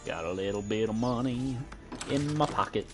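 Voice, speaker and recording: A man's voice: fairly low pitch, lively intonation, normal speed, over music. A young man with a Midwestern American accent giving playful, giggly commentary while streaming a game. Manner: playful, giggly, joking with chat. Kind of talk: casual gameplay commentary.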